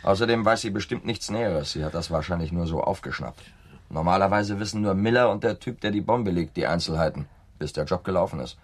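Husky, slippery small-time crook. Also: male voice, sits low, fairly quick. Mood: matter-of-fact. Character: slippery, chummy male small-time pimp and police informant. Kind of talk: German radio play acting.

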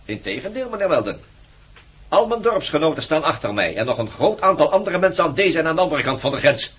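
An actor playing a corrupt village sheriff in a radio drama, mocking, pompous and threatening. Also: male voice, a little high, big pitch swings, fast, a bit bright, husky, exaggerated voice. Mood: pompous, self-assured.

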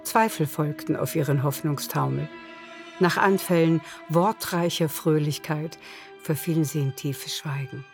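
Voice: mid-range voice, unhurried, vocal fry. Narrator for a radio drama, literary storytelling, music playing behind.

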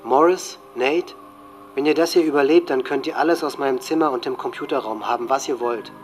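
A man's voice: mid-range voice, brisk, faint music behind, close to an everyday voice. A man playing a disturbed, hate-filled teenage school shooter in a German audio drama monologue. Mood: regretful farewell.

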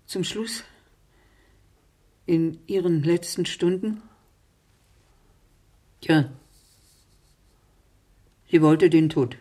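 Mournful aunt in a radio drama, raspy smoky low voice, halting, slow as anything. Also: female voice, exaggerated voice. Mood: anguished, haunted. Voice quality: husky, low, breathy. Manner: halting, hushed, dwelling on words. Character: grieving, smoking aunt remembering a deathbed. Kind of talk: intimate radio drama monologue.

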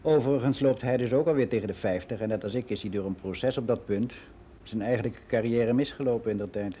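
An actor plays a world-weary middle-aged man in a radio drama monologue. His voice is dark and relaxed, of ordinary male pitch. He rambles on frankly and matter-of-factly, like someone sharing gossip in private, with some music in the recording. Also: fairly quick, a little hiss or hum, natural voice. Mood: gossipy. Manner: rambling, confiding, matter-of-fact.

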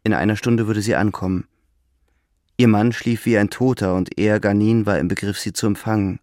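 A man narrating a literary radio play from a script in measured storytelling. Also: fairly low pitch, steady tone, a little mellow, dry recording, studio quality.

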